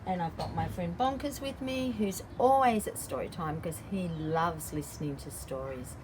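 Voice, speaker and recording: A woman's voice: on the low side, normal speed, background noise. A woman reading a picture book aloud to young children, adding simple explanations and drawing out key words. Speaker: children's story time host and early childhood educator.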